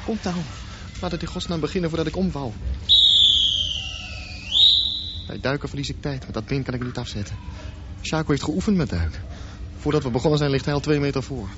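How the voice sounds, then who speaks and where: A man's voice: on the high side, animated, fast, close to an everyday voice. Intense, brooding young male swimmer for radio play, acted by a man; earnest delivery, muffled and noisy sound. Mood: tense, defiant. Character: intense, brooding teenage boy swimmer with deformed leg. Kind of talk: radio drama acting.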